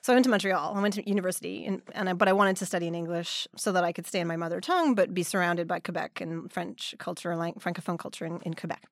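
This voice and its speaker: A woman in her forties, raised in Canada, telling her story as an interview guest, lively and often laughing as she acts out quotes. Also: lowish voice, quick pace, few pauses, dry recording, studio quality. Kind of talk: casual conversational interview.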